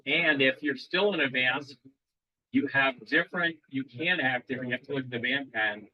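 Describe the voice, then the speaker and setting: A man's voice: medium pitch, moderate pace, no echo, muffled recording. Rambling man quizzing a club audience. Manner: rambling, with frequent restarts. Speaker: presenter at an amateur radio club meeting. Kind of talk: live demo talk quizzing the audience.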